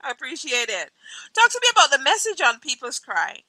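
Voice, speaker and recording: A woman hosting an interview, upbeat, with frequent ums, giving shout-outs. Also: sits high, sing-song, fairly quick, dry recording, low-quality recording. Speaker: interview host.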